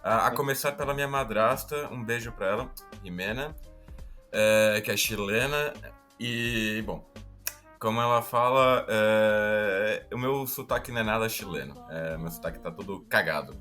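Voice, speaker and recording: A man's voice: on the low side, moderate pace, sharp tone, soft background music. Chatty Brazilian man explaining history. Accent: Brazilian Portuguese. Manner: chatty, explanatory, self-deprecating asides. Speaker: podcast host. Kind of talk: casual history podcast explainer.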